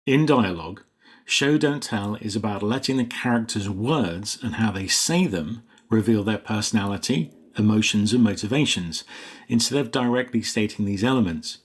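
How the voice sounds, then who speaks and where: Male writing coach, lower register, husky edge, measured explanatory delivery of scripted instruction. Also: expressive.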